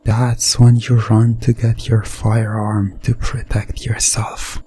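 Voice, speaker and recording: A man narrating a hypnosis ASMR story in the second person, in a deliberate, suspenseful way. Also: fairly low pitch, completely flat delivery, warm tone, soft background music.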